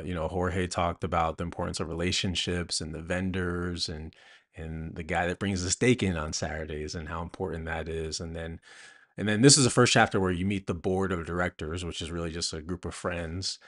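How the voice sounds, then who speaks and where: A man answering interview questions conversationally as an author and host, thinking aloud haltingly with many a "you know". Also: low-pitched, fairly bright tone.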